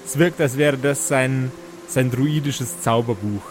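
A man's voice: mid-range voice, a little slow. A man, narrating and improvising as game master in a live tabletop role-playing game. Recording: music behind the voice. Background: music.

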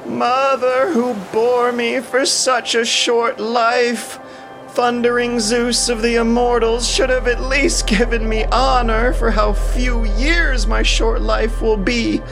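A man's voice: extremely high pitch, level tone, takes it slowly, creaky, voice pushed up, full character voice. A man voicing a proud young warrior hero, aggrieved and pleading, in impassioned, declamatory audio drama style. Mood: anguished. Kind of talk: audio drama acting of epic poetry. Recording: music behind the voice.

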